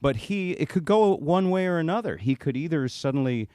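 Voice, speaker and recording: A man's voice: a little high, animated, fairly quick, dark tone, clear, resonant tone, no echo, studio quality. An American man hosting a casual radio interview, exclaiming and asking rambling, conversational questions. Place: radio studio.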